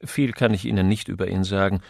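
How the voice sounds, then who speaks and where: A man voicing a brisk, formal office manager in an audiobook, polite and instructing. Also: deep voice, fairly even intonation, gravelly, darkish tone, no room sound, studio quality. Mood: businesslike.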